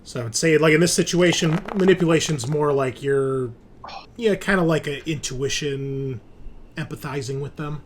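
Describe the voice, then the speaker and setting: A man's voice: a little high, moderate pace, a little hiss or hum. A man running a tabletop roleplaying game as game master, explaining scenes and rules conversationally.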